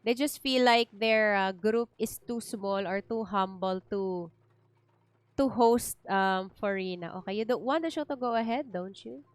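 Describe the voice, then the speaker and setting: A woman's voice: medium pitch, unhurried. A woman with a Filipino accent chatting casually as she streams a game, informal and thinking aloud. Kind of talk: casual livestream chat and game commentary. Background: faint game music.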